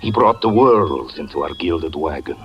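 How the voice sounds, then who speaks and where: A man's voice: extremely low pitch, animated, moderate pace. An actor playing a jealous, haunted fortune-teller who narrates his own doom in a grave, theatrical 1940s radio drama style. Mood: fatalistic. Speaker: narrator and lead character. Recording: lo-fi old radio recording, faint music.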